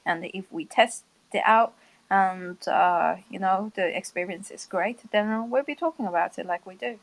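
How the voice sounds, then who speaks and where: Woman with a creaky voice, co-hosting. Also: mid-range voice, moderate pace, low-quality recording. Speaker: co-host. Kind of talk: casual unscripted group discussion. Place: live online audio chat room.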